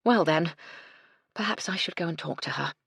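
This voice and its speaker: A woman voicing a kindly, firm lady in charge of a household, speaking in a measured, genteel and reassuring manner. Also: on the low side, creaky, fairly bright tone, husky, dry recording, clean studio sound, exaggerated voice. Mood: firm, wry.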